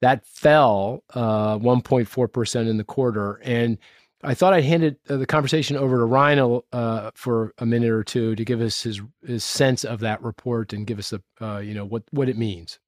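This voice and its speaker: A man's voice: medium pitch, fairly even intonation, moderate pace, no echo, clean studio sound. A man hosting an interview, chatting casually and hesitantly with many restarts. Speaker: host.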